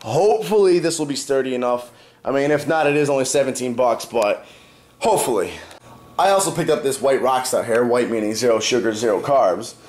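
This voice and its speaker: A man's voice: medium pitch, animated, a little fast, a little mellow. A young man vlogging casually to his viewers, stuffed up from a cold and partly losing his voice, explaining things step by step. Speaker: fitness vlogger. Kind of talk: casual vlog talk to camera.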